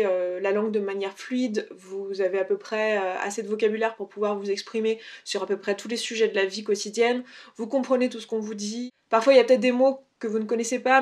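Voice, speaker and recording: Woman giving casual, explanatory advice. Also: medium pitch. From France. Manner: conversational, explanatory, rephrasing as she goes. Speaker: video blogger giving language-learning tips. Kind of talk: casual, unscripted advice to camera.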